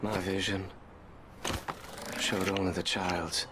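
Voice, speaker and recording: Low, controlled, sinister wizard official. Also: male voice, little pitch movement, takes it slowly, very noisy. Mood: quiet, coaxing. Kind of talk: film dialogue acting.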